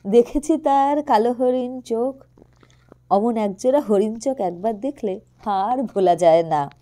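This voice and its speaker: A woman's voice: on the high side, measured pace, mellow, close to an everyday voice. Casting: romantic young man read by a woman, earnest, bantering, a little hesitant, audio story. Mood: admiring. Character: romantic, good-humored young man, hesitant, mock-indignant.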